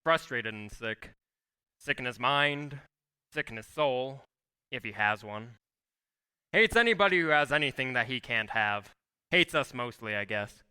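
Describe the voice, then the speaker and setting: Man playing a weary, gentle father, clear-voiced. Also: mid-range voice, animated. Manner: measured, weary, reflective. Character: tired, gentle, idealistic small-town father. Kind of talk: old-time radio play acting on stage. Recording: live stage taping of radio play.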